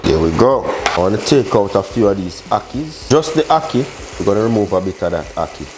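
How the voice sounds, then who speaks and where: Male cooking host, thirties, low voice, chatty step-by-step delivery, noticeable background noise. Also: lively intonation, a little fast. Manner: casual, chatty, addresses viewer with short exclamations. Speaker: cooking show host, chef. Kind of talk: casual step-by-step recipe demonstration. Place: indoor modern home kitchen.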